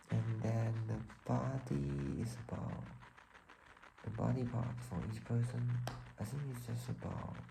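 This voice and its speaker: A man from Taiwan with a Taiwanese accent tells a personal story casually and haltingly, often searching for words. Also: mid-range voice, gravelly, noisy background. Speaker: vlogger telling own life story.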